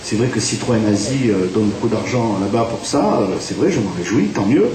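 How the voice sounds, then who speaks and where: A man's voice: fairly low pitch, animated, fairly quick, a little mellow. A man from France, a theatre director, talking in a radio interview with many fillers and restarts. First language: French. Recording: distant, echoey room with faint hiss.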